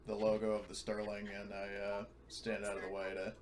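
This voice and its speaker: A man's voice: fairly low pitch, monotone, a little fast. A man talking casually as a player in a tabletop roleplaying game. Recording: clear background noise.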